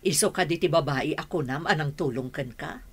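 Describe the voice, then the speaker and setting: A woman's voice: low-pitched, a little fast, breathy edge. A woman playing a worried, caring mother of a grown son in an Ilocano radio drama, speaking in a pleading, motherly way. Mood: motherly probing.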